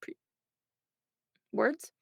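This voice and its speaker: A woman's voice: extremely slow, a little mellow, no room sound, clean studio sound. A woman voice actor being interviewed, talking conversationally and reflectively, often breaking off to correct herself. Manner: chatty, self-interrupting, reflective.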